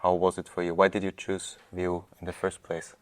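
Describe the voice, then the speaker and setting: Deep, flat-voiced young Austrian man. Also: brisk, dry recording. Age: young adult. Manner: polite, slightly formal, reads prepared questions. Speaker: student host. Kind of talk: interview hosting.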